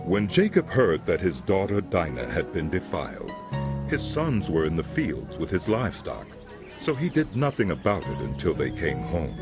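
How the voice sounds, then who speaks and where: A man narrating scripture from a script as a solemn, measured storyteller. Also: sits low, wildly swooping pitch, over music.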